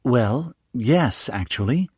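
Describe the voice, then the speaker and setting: A man's voice: mid-range voice, lively intonation, takes it slowly, breathy edge, no room sound, pitched above the performer's own voice, exaggerated voice. Male reader doing an earnest young princess, slightly raised voice, dry. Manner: earnest, explaining.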